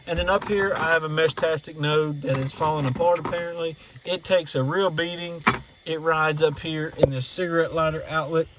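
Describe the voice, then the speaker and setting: A man's voice: fairly high pitch, fairly even intonation, moderate pace, heavy background noise. A man giving a casual, hands-on walkthrough as a hobbyist, explaining things in a plainspoken, practical way. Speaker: radio hobbyist presenting an install walkthrough.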